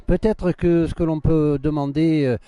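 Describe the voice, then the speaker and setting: Male interviewer, fairly high voice, mellow tone, dry close sound, hesitant with fillers. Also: steady tone, normal speed, smooth, dry recording. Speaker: interviewer, host. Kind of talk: interview introduction.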